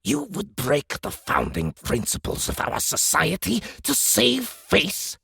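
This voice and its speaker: Gravelly, sardonic alien officer. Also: male voice, fairly high pitch, huge pitch swings, pushed and bright, dry recording, studio quality, exaggerated voice. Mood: stern contempt. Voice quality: gravelly, raspy. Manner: deliberate, cutting, drily mocking. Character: stern, sardonic senior male alien military officer. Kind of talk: audiobook character acting.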